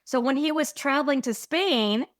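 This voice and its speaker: A woman's voice: sits high, normal speed, no room sound, clean studio sound. A woman from the American Midwest sharing personal stories in a reflective, earnest way on a podcast. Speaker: podcast co-host. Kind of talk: conversational podcast chat.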